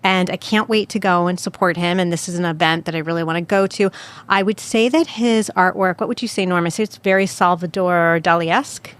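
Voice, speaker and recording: An American woman hosts a casual local chat show, talking fast and friendly with frequent laughter. Her voice sits a bit low for a woman. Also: smooth. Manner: chatty, quick, laughs often. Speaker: podcast host.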